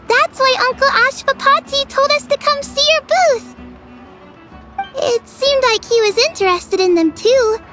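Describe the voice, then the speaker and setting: A tiny fairy-like game sidekick with an extremely high, childlike pitch. The character is excitable and earnest, eager to help, with music playing clearly behind the voice. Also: female voice. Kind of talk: video game character acting.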